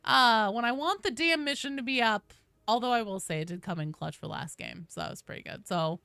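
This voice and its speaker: A woman livestreaming a video game, chatting casually and commentating in an informal, sweary, animated way. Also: lowish voice, clear, resonant tone, no room sound.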